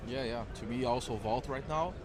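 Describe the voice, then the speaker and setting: A man's voice: medium pitch, brisk. A man at a conference who teaches DevOps, chatting in an easygoing way. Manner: easygoing, short agreeing replies. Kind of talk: casual conversation. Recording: busy crowd noise behind.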